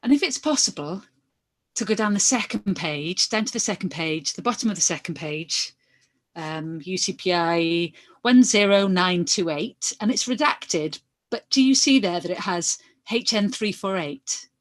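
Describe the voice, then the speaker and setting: A woman reading the part of an inquiry lawyer, putting formal questions in a measured, methodical way. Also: fairly low pitch, expressive, lightly acted. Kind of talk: verbatim reading of hearing questions.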